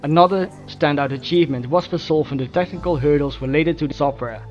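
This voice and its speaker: A man narrates a formal scripted progress report at a fast clip. His voice is slightly high, and the recording is dull, with faint music underneath. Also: darkish tone. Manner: formal, measured wording. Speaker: mod developer.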